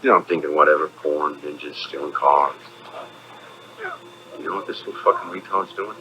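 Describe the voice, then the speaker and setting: A contemptuous bully of a stepfather speaks in an extremely low voice. His delivery is crude and sneering. The film clip carries clear background noise. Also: normal speed, bright, forceful tone, highs cut off. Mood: contemptuous, menacing.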